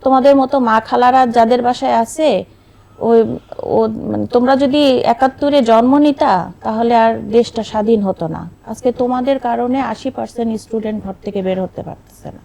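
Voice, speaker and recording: A woman's voice: a little high, normal speed, vocal fry, mellow. A middle-aged woman with a Bangladeshi accent, an interview guest telling a personal story conversationally and quoting remembered dialogue. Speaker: interview guest, bereaved mother.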